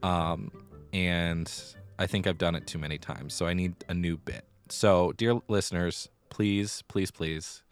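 Low-voiced man chatting on a podcast. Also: steady tone, unhurried, smooth, faint music behind. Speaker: podcast co-host; middle school theater director. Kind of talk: casual conversational podcast chat.

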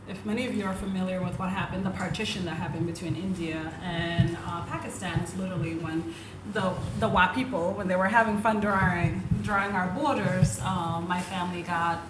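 A woman's voice: on the low side, background noise. A woman from South Sudan and Ethiopia, an adjunct professor telling stories as a podcast guest, acting out quotes and laughing often. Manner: animated storyteller, acts out quotes, laughs often. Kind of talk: casual podcast interview.

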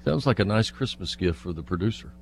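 A man's voice: lowish voice, lively intonation, fast talker, creaky, breathy edge. An older or middle-aged man, a radio producer chatting casually in the studio. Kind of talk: casual chat.

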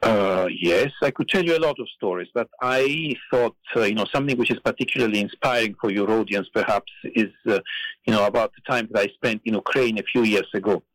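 A middle-aged man from Italy with an Italian accent, telling a story as a guest on a podcast, pausing often with fillers. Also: on the low side, a bit bright, no echo, rough recording. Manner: hesitant, many "uh" and "you know" fillers. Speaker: guest, theology professor.

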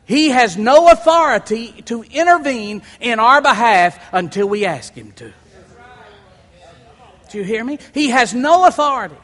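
A man preaching a sermon, building to shouted peaks and dropping low, with folksy humorous asides. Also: lively intonation, unhurried. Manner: builds to shouting crescendos, then drops low. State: sweating and worked up. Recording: long hall reverb.

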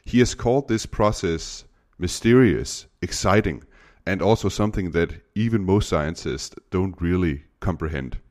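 Casting: deep-voiced Danish-native podcast host, hesitant, lots of uhs. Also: male voice, normal speed, darkish tone. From Denmark. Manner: hesitant, many uh fillers. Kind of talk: interview podcast.

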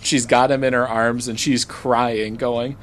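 Laid-back man guest host; slow-paced, playful teasing, quick laughs. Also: mid-range voice, level tone, a little hiss or hum. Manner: slow, teasing, laughs at his own jokes. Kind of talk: casual podcast chat.

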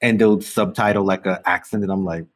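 A man hosting a podcast, chatting in a relaxed way and laughing often. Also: low voice, fairly quick. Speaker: podcast host. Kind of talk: casual interview chat.

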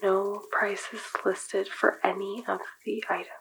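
Actress as a haunted young woman; slow, hushed, a little gravelly, faint background noise. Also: mid-range voice, little pitch movement, rough recording. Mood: entranced. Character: unnerved young woman drawn to an eerie machine. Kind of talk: audio drama acting.

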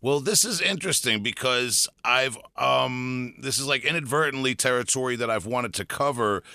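A man's voice: medium pitch, moderate pace, sharp tone, dry recording, clean studio sound. A man hosting a podcast, asking questions in a friendly, chatty way. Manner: friendly, chatty, laughs easily. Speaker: podcast host. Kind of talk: casual interview.

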